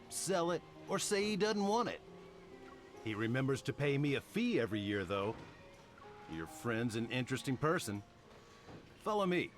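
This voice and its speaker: An actor playing a chatty middle-aged male car mechanic in a romance game, folksy, casual and sympathetic. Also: mid-range voice. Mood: casual, curious. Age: 40s. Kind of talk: voiced dialogue in a romance game.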